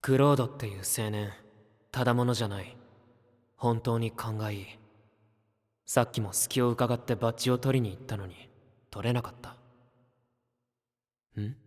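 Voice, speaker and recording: An actor playing a self-possessed young knight with a calm, measured, teasing manner in a fantasy audio drama. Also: male voice, mid-range voice, no echo, studio quality, lightly acted. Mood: observant, puzzled. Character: cool, elusive, self-possessed young male knight candidate.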